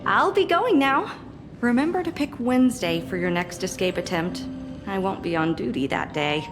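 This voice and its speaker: A woman's voice: mid-range voice, lively intonation, normal speed, close to an everyday voice. A woman voicing a caring, slightly exasperated female nurse in a video game. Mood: gentle, encouraging. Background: music.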